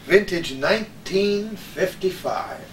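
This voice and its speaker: A man's voice: high voice, big pitch swings, takes it slowly, fairly bright tone. A man in his sixties hosting a casual, reminiscing conversation. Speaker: host. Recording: roomy, distant mics, faint noise.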